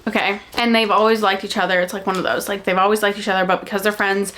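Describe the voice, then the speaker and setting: A young adult woman chatting casually about books, with an animated, gushing delivery and dramatic emphasis. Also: medium pitch, fast, a little hiss or hum. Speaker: book reviewer and vlogger. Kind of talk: casual chatty book recommendation vlog.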